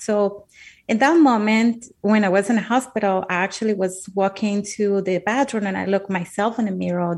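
A woman from Colombia with a Colombian Spanish accent, speaking English as a second language, earnestly recounting her life as an interview guest. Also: mid-range voice. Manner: earnest, emphatic, repeats words while thinking. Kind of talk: heartfelt personal storytelling.

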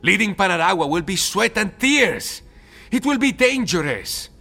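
A man's voice: sits high, sing-song, normal speed, vocal fry, sharp tone, exaggerated voice. A man playing a proud, exasperated revolutionary leader in an audio drama, with an emphatic, melodramatic manner. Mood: earnest, pleading. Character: indignant, proud, pleading male revolutionary leader.

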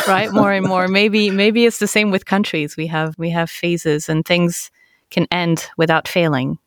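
A woman from France hosts an interview in a measured, reflective tone. Her voice is low, smooth and resonant, recorded dry and close with no room sound. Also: little pitch movement, no room sound. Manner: measured, reflective, relates the topic to her own experience. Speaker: podcast host. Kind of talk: conversational interview.

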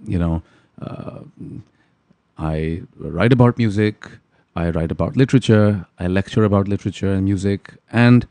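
A man, giving articulate, measured interview answers with many pauses. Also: low voice, dark tone, no echo. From Pakistan. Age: 30s. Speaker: guest.

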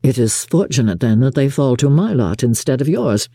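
A woman voicing a proud, reserved, coolly exacting gentleman in an audiobook, formal and measured. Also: rumbling low, big pitch swings, slightly rough, no room sound, clean studio sound, exaggerated voice. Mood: cool reserve. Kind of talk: audiobook character acting.

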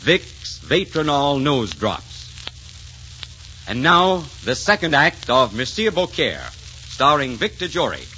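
A man's voice: on the high side, very animated, slow. A man announcing on 1940s radio with a folksy but polished salesman delivery. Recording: muffled, noisy old 1940s radio recording.

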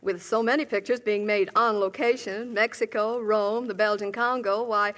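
A woman's voice: mid-range voice, fairly even intonation, moderate pace, fairly bright tone. A woman Hollywood reporter in a scripted 1950s radio segment, chatty and enthusiastic.

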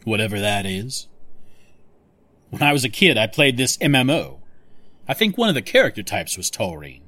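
A man's voice: on the low side, big pitch swings, normal speed, sharp tone, heavily acted. A man playing a sardonic, suspicious official in an audio drama, questioning in a dry, pointed way. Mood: sardonic, probing.

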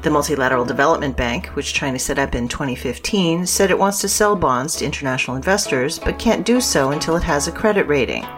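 A woman reads the news from a script in a low register. She is formal and precise in her phrasing. Faint music plays underneath. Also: animated, quick pace, hardly any pauses. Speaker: news reader.

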